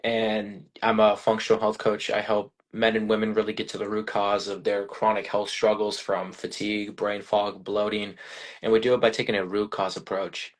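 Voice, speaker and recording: Flat-voiced low American man. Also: moderate pace. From United States. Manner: loose, rambling, repeats phrases. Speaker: podcast host. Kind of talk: casual live-stream chat.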